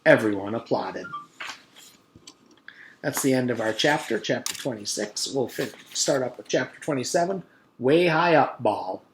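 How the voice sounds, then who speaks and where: A man's voice: medium pitch, expressive, normal speed, echoey room, slight background noise, rough recording. A man narrating a children's storybook aloud as a teacher would for pupils.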